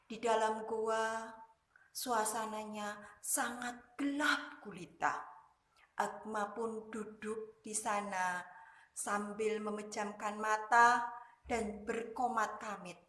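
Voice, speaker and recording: Slow, bright-voiced woman storyteller in echoey room. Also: mid-range voice. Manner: deliberate, broken into short emphatic phrases. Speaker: kindergarten teacher and storyteller. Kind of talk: dramatic children's storytelling.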